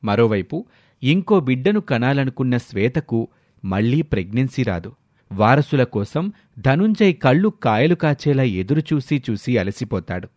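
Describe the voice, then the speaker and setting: A man's voice: mid-range voice, very animated, moderate pace, a little mellow, dry recording, clean studio sound. A man narrating a scripted moral story as a storyteller.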